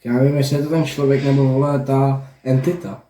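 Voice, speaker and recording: A man's voice: mid-range voice, flat delivery, warm tone. A young man chatting casually as a reaction host, joking and swearing in slang. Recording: roomy echo, faint noise and clicks.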